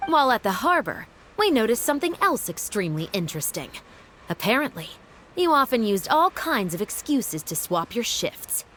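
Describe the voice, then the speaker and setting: A woman's voice: on the high side, big pitch swings, normal speed, fairly bright tone, slight background noise. A woman voicing a stern, righteous female security patrol captain in a video game, authoritative, formal and declamatory. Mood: accusing. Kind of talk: dramatic video game voice acting.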